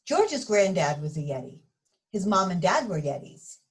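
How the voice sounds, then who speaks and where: A woman reading a picture book aloud to young children, expressive and addressing them directly. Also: fairly low pitch, moderate pace. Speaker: story reader for young children.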